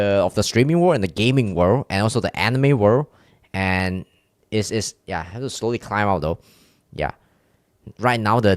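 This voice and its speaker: A man's voice: lowish voice, no room sound, studio quality. A man with a Chinese accent, a streamer chatting casually with his viewers, rambling and candid with many restarts. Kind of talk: casual live-stream chat with chat.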